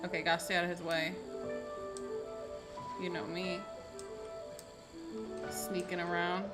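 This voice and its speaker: A woman's voice: lowish voice, little pitch movement, a little slow. A woman doing casual livestream game commentary, chatty, reactive and slangy. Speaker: game streamer. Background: game music.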